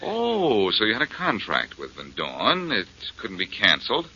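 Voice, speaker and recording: An actor playing a brash, wisecracking detective who presses with sardonic questions in a 1940s radio drama. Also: male voice, fairly low pitch, wildly swooping pitch, a little slow, bright, forceful tone, slightly rough, exaggerated voice. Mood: accusing. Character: brash, wisecracking male amateur detective, confident and needling. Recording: dull vintage 1940s radio recording.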